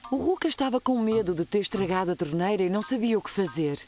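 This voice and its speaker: A woman's voice: medium pitch, lively intonation, fast talker, darkish tone. A woman narrating a children's story expressively, with a European Portuguese accent.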